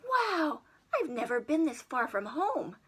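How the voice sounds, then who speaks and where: A woman's voice: high voice, very animated, slow, pitched above the performer's own voice, full character voice. A woman voicing an eager, grumbling young boy adventurer from a storybook, with an exclamatory, wishful delivery. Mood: amazed.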